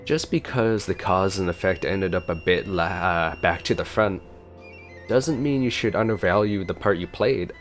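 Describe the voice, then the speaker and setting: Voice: deep voice, normal speed, soft background music. A chatty, reactive streamer doing a casual gameplay reaction and reading along aloud.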